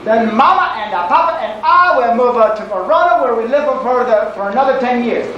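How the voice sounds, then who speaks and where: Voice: medium pitch, lively intonation, brisk, lightly acted. Someone doing a fussy Italian cafe owner. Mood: fussy welcoming. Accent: put-on Italian. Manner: broken English, ends lines with "eh?". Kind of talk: amateur radio-play acting. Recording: roomy, distant, dull, faint hiss.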